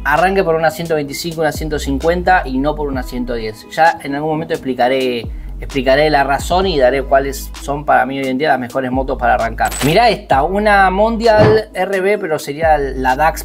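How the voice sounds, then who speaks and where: A young man with an Argentine accent, talking casually and bluntly as he browses listings from a home desk. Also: fairly high pitch. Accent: Argentine Spanish. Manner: chatty, blunt, uses slang. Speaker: motorcycle vlogger. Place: small home office at a desk.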